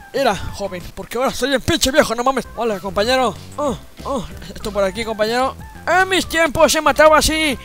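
A man puts on a cranky old grandpa with a very high, strained voice, cursing and grumbling through a comedy gameplay video. He yells at the game and complains about how things were in his day. Faint game music sits behind him. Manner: grumbling, swearing, yelling at the game. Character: foul-mouthed cranky old grandfather gamer.

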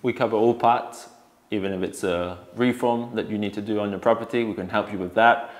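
A man's voice: fairly low pitch, fairly even intonation, a little fast. A man in his thirties who grew up in Spain, a native Spanish speaker, giving a casual promotional talk as an estate agent. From born in England, grew up in Spain. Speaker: real estate agent in family business. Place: living room, on a sofa indoors. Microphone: lapel mic.

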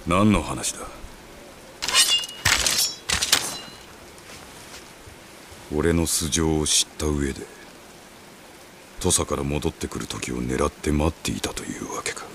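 An actor playing a stern, commanding samurai officer in a video game, gruff, authoritative and coolly menacing. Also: male voice, very deep voice, normal speed. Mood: tense, guarded. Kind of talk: dramatic samurai game cutscene acting. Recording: ambient noise behind the voice.

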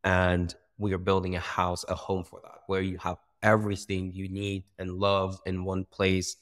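A young man from Iran, a startup founder talking casually on a video call, animated, with many fillers and restarts. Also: sits low, normal speed. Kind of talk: casual interview. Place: bright room by a window.

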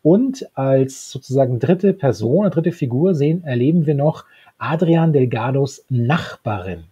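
A man's voice: mid-range voice, lively intonation, unhurried, mellow, husky, no room sound. A man in his thirties casually discussing and retelling a story as a podcast co-host, often breaking off and restarting his sentences. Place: small book-lined home room, indoors, remote video call. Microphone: handheld-style recorder close on desk. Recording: dull, muffled podcast recording.

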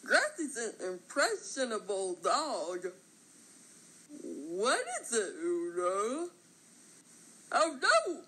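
A woman voicing an excitable, brave, childlike clump of grass in cartoon voice lines, exclaiming and talking in the third person. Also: on the high side, very slow, long pauses, breathy edge, heavily acted, poor audio. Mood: excited, heroic, then alarmed.

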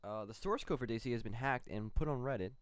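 A man's voice: mid-range voice, fast talker, clear, resonant tone, dry recording, studio quality. Rambling young man reading gaming news. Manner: rambling, loose delivery with many fillers. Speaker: co-host of a gaming news show. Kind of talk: casual gaming news chat. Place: small home studio room. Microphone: studio mic on a boom arm.

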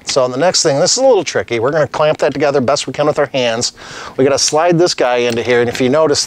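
A middle-aged master plumber giving a casual, practical tutorial on a lapel mic while he works with tools at a desk. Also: mid-range voice. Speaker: master plumber teaching on his channel. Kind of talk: hands-on tutorial demonstration. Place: indoor studio set at a desk.

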